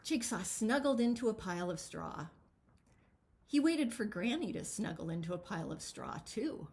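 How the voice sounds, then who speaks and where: A woman reading a picture book aloud as its author, with expressive, dramatic storytelling. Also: mid-range voice, moderate pace. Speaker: children's author reading their own book.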